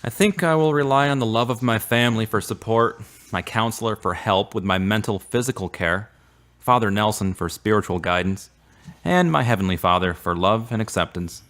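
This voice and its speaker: A man's voice: fairly low pitch, normal speed, fairly bright tone, close to an everyday voice. A man playing an earnest, devout character saddened by a fading romance in a radio drama, speaking plainly and sincerely. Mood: earnest, wistful.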